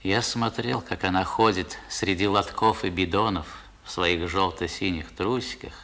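Male narrator, low register, expressive and literary, looking back wistfully, old radio drama. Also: normal speed. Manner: expressive, theatrical storytelling. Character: man recalling his boyhood, wistful and dramatic. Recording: vintage 1960s radio recording.

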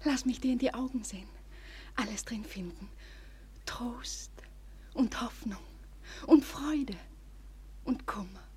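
Breathy, adoring young maiden. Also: female voice, a little high, slow, pauses often, heavily acted. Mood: adoring, hushed. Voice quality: breathy, often near whispering. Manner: theatrical, fervent, hushed. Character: adoring young maiden, shy, devoted and rapturous lover. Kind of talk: classic German radio play acting.

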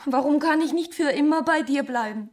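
A woman acting a passionate, anxious, yearning young wife in a radio drama, with an intense and breathy delivery. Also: high voice, fairly quick, darkish tone, close to an everyday voice. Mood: pleading, worried.

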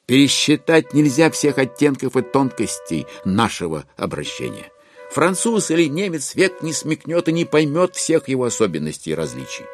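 A man's voice: medium pitch, sing-song, moderate pace, soft background music, close to an everyday voice. A man acting as the wry, knowing storyteller of a classic satire, narrating with theatrical, ironic phrasing. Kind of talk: scripted dramatic narration.

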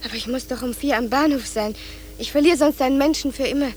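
An actress playing a harried young office employee, flustered and pleading, in a German radio play. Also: female voice, high voice, quick pace, close to an everyday voice. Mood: urgent pleading. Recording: faint hiss.

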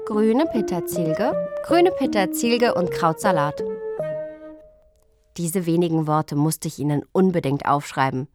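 An actress playing an earnest, playful young princess in a radio drama, reciting notebooks and letters in a childlike, emphatic way. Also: female voice, lowish voice.

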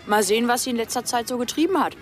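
A woman's voice: on the high side, rapid-fire, over music, close to an everyday voice. A woman playing a brash, mocking teenager in a radio drama, teasing and dismissive.

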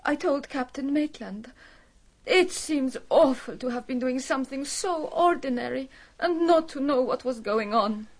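High-voiced, highly strung woman. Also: a little slow. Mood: defensive, nervous. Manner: emotional, dramatic, defensive. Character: highly strung, indignant, theatrical married woman. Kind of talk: radio drama acting.

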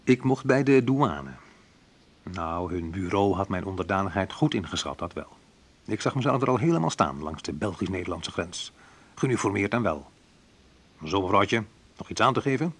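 A man's voice: low-pitched, lively intonation, moderate pace, husky, full character voice. An actor playing a wounded man who narrates his youth in a radio play, in short colloquial phrases. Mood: wry. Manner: colloquial, sardonic, clipped short sentences. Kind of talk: dramatised first-person narration, radio play.

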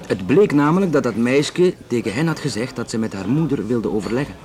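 A man's voice: mid-range voice, animated, fairly quick, a little mellow, close to an everyday voice. Flemish police investigator, acted by a man, talking casually. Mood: matter-of-fact, narrating. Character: Belgian police investigator, talkative and matter-of-fact. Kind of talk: naturalistic radio drama dialogue.

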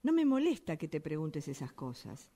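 A woman's voice: sits low, wildly swooping pitch, moderate pace, warm tone, exaggerated voice. An actress playing a stern, domineering woman in a radio play, with a Rioplatense Spanish accent and an emphatic, insistent delivery. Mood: stern, probing. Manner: emphatic, repeats phrases insistently. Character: stern, domineering, defensive woman, sure of herself. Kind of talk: radio theatre acting, workshop fiction.

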